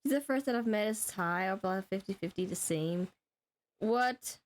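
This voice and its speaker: A young woman from Denmark chatting casually on a gaming livestream, excitable and giggly, with plenty of swearing. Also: mid-range voice, unhurried, slight background noise. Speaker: live streamer.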